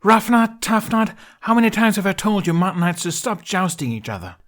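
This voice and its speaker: A man voicing a bossy, hot-tempered young warrior woman in a storybook, scolding forcefully. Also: high-pitched, sing-song, fairly quick, voice pushed up. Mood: angry, scolding.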